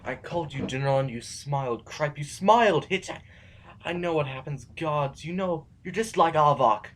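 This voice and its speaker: A man's voice: on the high side, moderate pace, natural voice. A man playing an anguished, bitterly philosophical reluctant rebel in a science fiction audio drama, with a theatrical, wordy delivery. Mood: bitter accusation. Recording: faintly noisy amateur recording.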